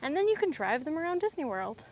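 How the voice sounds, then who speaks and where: Upbeat playful lady doing a chatty vlog, pretty high voice, bit of outdoor noise. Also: sing-song, fast, a little mellow. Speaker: vlog host. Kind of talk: casual, chatty travel vlogging. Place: outdoors, mostly on a boat on a lake.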